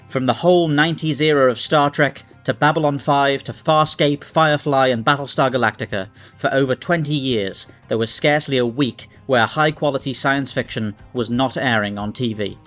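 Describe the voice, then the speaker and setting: A man's voice: mid-range voice, a bit bright. A man hosting a scripted video essay, speaking in an articulate, analytical way. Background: background music.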